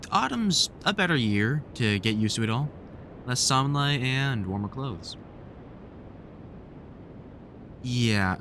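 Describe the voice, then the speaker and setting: Casting: male actor as seasoned older monster hunter for audio roleplay, mid-pitched, very animated delivery, protective, dry-humoured mentor, faint rumble behind. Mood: wry, reassuring. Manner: reassuring, wry, casually sweary. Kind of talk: one-sided audio roleplay, conversational comfort scene.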